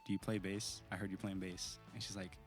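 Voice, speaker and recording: A man's voice: sits low, brisk, music in the background. A young man from the East Bay in his teens, the band's singer and guitarist, chatting casually and rambling with lots of fillers. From East Bay, California. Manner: relaxed, rambling with many fillers. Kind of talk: casual interview chat.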